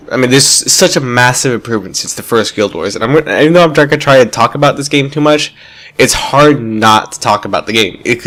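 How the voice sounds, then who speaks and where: A man's voice: medium pitch, a bit bright. A man with a stuffy, congested voice, rambling enthusiastically through casual gameplay commentary with many fillers and restarts. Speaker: gaming YouTuber.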